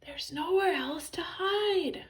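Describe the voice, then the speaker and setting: A woman voicing a frightened, easily panicked schoolboy in a children's audiobook, in an urgent, breathy way. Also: very high voice, slow, voice pushed up. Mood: scared whispering.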